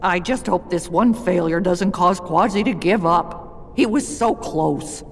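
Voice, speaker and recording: A man's voice: high voice, unhurried, a little hiss or hum. A man playing a feisty, fond, bossy stone gargoyle in a video game, wry and emphatic. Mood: fond concern. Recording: long reverb, game music behind.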